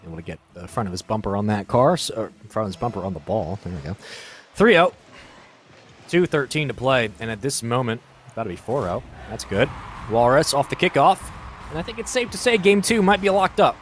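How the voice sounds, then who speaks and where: A man giving casual color commentary on a high school esports match. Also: lively intonation, fairly quick, slight background noise. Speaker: esports co-caster.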